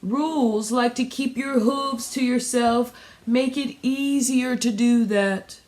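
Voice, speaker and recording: A woman voicing a patient female pig schoolteacher in a children's storybook, explaining things in a calm, measured, reassuring way. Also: fairly high pitch.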